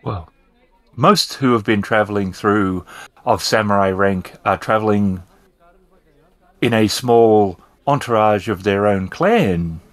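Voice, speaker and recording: A man's voice: lowish voice, slow pace, dry recording. A man voicing a courteous, humble young woman who performs on the street in a tabletop game. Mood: polite, curious. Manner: formal, courteous. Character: pretty, mischievous young female street performer, polite and humble.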